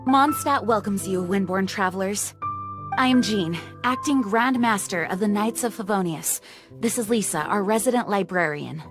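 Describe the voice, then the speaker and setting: Woman as dignified knight commander, earnest, authoritative, medium pitch, fantasy game style, music underneath. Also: moderate pace, close to an everyday voice. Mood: gracious, formal. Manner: formal, resolute, authoritative. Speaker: acting grand master of knights. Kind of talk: fantasy video game voice acting.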